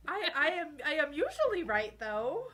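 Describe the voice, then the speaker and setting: A woman's voice: sits high, wildly swooping pitch, moderate pace, bright, forceful tone. A woman chatting casually as a player in a tabletop role-playing game. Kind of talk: casual banter.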